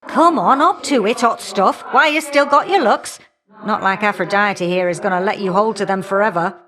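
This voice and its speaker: A woman's voice: mid-range voice, normal speed, fairly bright tone, close to an everyday voice. A woman voicing a feisty, folksy elder goddess of flame in a video game, sassy and affectionately teasing. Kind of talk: video game character dialogue.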